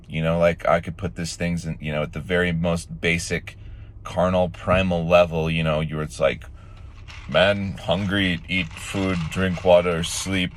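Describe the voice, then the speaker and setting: Low, raspy-voiced male vlogger, sore throat, casual rambling delivery. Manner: rambling, many fillers and restarts. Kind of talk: casual morning vlog talk.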